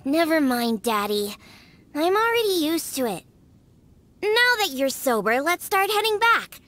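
A woman's voice: sits high, very animated, measured pace, pushed and bright, heavily acted. A woman voicing a small, exasperated young cat-eared daughter in a video game, scolding and matter-of-fact. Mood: resigned, teasing.

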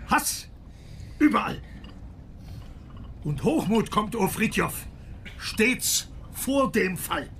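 A pompous emperor in a radio play, high in pitch and very unhurried. He declaims grandly and rapturously, with a creaky edge to his voice. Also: a bit bright, slight background noise, exaggerated voice. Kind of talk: radio drama acting, verse recitation.

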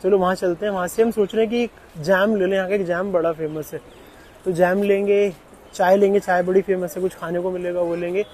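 A man in his thirties vlogging outdoors on a handheld camera, talking excitedly and casually, often saying "yaar". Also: high voice, fairly quick. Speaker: travel vlogger. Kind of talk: casual travel vlog narration.

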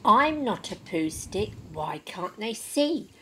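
A woman voicing a small, indignant, homesick stick man from a storybook, pleading in drawn-out chants. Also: medium pitch, big pitch swings, voice pushed down. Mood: plaintive. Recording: loud rushing stream water noise.

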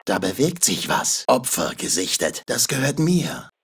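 An actor playing a masked slasher killer in a video game, raspy, giving terse callouts with mocking menace. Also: male voice, fairly low pitch, huge pitch swings, unhurried, fairly bright tone, dry recording, exaggerated voice. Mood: terse, alert. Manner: taunting, sardonic, terse.

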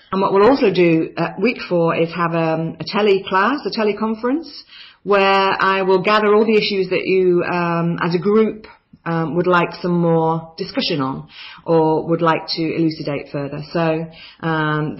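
A woman's voice: on the low side, fairly even intonation, normal speed. Enthusiastic woman tutoring a writing course. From New Zealand. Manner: enthusiastic, chatty, with frequent ums. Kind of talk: course overview narration over slides. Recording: slightly dull lo-fi voice-over.

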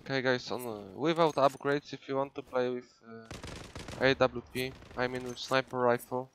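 A man's voice: mid-range voice, steady tone, normal speed, fairly bright tone, slight background noise. Polish guy streaming a shooter, headset mic at home, chatty and loose with fillers, faint gunshots under him. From Poland. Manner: casual, switches between English and Polish, many fillers. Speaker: gaming streamer. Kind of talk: live gameplay commentary.

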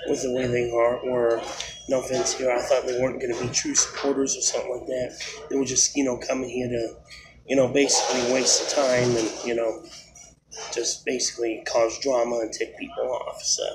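A man's voice: medium pitch, level tone, brisk, noisy background. A man in his thirties with a cold, talking in a nasal voice as he rambles through a casual food review vlog on a close phone camera, indoors. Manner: rambling, many fillers and restarts. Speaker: vlogger. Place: indoors, small room.

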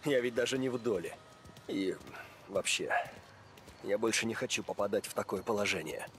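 A man's voice: lowish voice, a bit bright, a little hiss or hum, close to an everyday voice. An actor as a wary family-man mobster. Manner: measured, reluctant.

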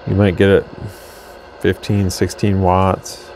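A man's voice: low-pitched, takes it slowly, slight background noise, low-quality recording. A man casually demonstrating a radio at his workbench, rambling a little as he adjusts the gear. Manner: relaxed, rambling, talks while adjusting gear. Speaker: radio reviewer. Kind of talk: casual product demo. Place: workbench with radio equipment, indoors.

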